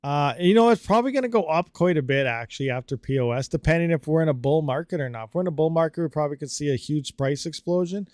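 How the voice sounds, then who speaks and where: A man's voice: fairly high pitch, fast, hardly any pauses, a little mellow, no room sound, studio quality. A man hosting a live stream, chatting casually and rambling with lots of fillers while answering viewer comments. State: slightly dry throat. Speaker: livestream host.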